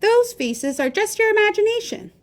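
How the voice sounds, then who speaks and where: A woman's voice: very high-pitched, sing-song, normal speed, voice pushed up. A woman voicing a science-minded little female fairy from a storybook, explaining facts in an earnest, matter-of-fact way.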